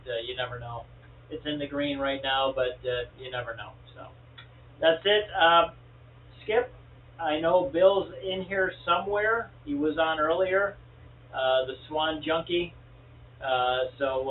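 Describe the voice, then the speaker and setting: Ham operator, male, casual net check-in, rambling with lots of "uh", slightly high voice, tinny phone-like audio with faint hiss. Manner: rambling, many fillers. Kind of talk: casual on-air radio chat.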